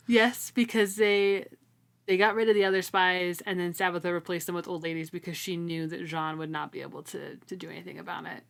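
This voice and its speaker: Female co-host, slightly low register, informal book-club chat with emphatic, slangy phrasing. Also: steady tone, brisk, gravelly, fairly bright tone. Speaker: podcast co-host. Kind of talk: casual book discussion chat.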